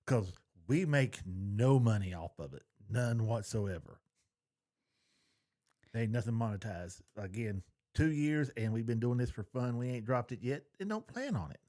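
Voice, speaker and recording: A man's voice: mid-range voice, animated, measured pace, dark tone. A man co-hosting a podcast in casual banter, with a folksy, colloquial way of talking. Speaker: podcast co-host.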